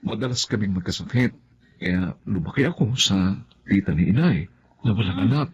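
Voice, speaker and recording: An actor playing an earnest, easily flustered young boyfriend in a Tagalog radio drama, on a muffled recording. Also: male voice, on the low side, lively intonation, normal speed, husky.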